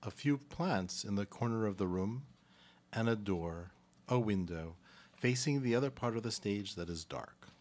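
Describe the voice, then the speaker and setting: A man presenting and narrating a live radio play in a halting, deliberate way. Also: on the low side, lively intonation, darkish tone. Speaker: presenter and stage-directions reader.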